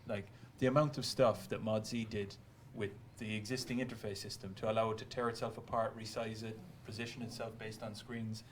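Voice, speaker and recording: A man with a slightly low voice talks at a fast clip in casual panel chat. There is faint noise and room ambience behind him, as on a live stream. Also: level tone. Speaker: game developer on a discussion panel.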